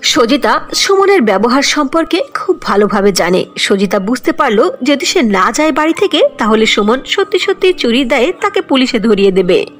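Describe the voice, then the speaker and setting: A woman's voice: a little high, very animated, moderate pace, soft background music. A woman narrating a scripted cartoon fairy tale as the storyteller.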